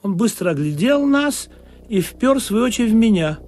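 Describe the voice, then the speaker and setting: A man narrating a radio play in an ironic, expressive storytelling manner. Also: high voice, normal speed, a little hiss or hum.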